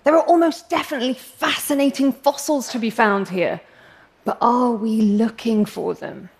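A woman's voice: on the high side, normal speed, a little mellow. A young English woman with an English accent giving a prepared public talk, passionate and wry with self-deprecating humor. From England.

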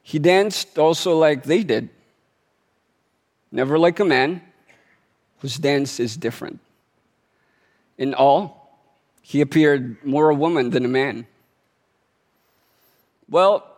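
A man from the Philippines giving a prepared talk to an audience, telling it like a story and slowing down to stress key words. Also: fairly high pitch. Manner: measured storytelling delivery, slowing for emphasis. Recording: long hall reverb.

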